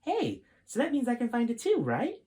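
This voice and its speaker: A bright-minded teenage boy from a storybook, put on by a male narrator. His voice sits high. He is eager and pert, explaining things with a slightly superior air. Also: wildly swooping pitch, moderate pace, exaggerated voice. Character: eager, know-it-all fourteen-year-old boy.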